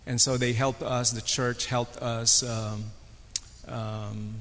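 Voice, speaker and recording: A man from the Philippines with a Filipino accent, a pastor telling his story with many pauses and fillers. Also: mid-range voice. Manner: halting, many fillers, flat delivery. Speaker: pastor and guest speaker. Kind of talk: personal testimony on a panel. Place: chapel on stage. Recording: long reverb of a chapel.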